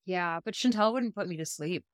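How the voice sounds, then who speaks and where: A woman guest on a podcast, speaking quietly with a deep, fairly monotone voice. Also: moderate pace, clear, resonant tone, no room sound, clean studio sound. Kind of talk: casual podcast chat.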